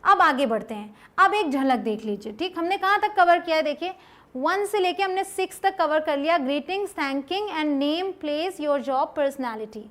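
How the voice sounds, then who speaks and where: High-pitched Indian woman teaching step by step. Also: expressive, a bit bright. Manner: checks understanding often, slows down to model example sentences. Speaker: English teacher presenting an online lesson. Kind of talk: instructional lesson, explaining step by step.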